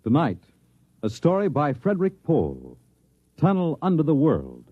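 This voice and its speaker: A man's voice: on the high side, huge pitch swings, measured pace, slightly rough. A man announcing in a dramatic 1950s radio style. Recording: dull vintage radio recording. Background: music.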